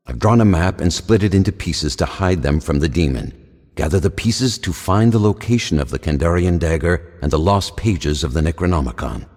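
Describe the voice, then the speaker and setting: A very deep-voiced old man, a grave and remorseful professor for a game. His voice is husky and rough, with formal, portentous phrasing. Long reverb trails after his words. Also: a little mellow. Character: remorseful, grave old male professor leaving doomsday recordings. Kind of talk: dramatic scripted game narration.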